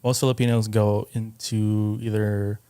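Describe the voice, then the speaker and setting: A man from Southern California with an American accent, explaining things informally as a podcast guest in a thoughtful, halting way. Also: on the low side, little pitch movement, warm tone, clear, resonant tone. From Southern California, United States. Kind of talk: casual conversational explaining.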